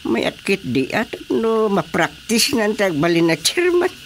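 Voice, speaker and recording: A man's voice: sits high, big pitch swings, unhurried, a little hiss or hum, full character voice. An actor with an Ilocano accent playing an older husband and father in a radio drama. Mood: conversational.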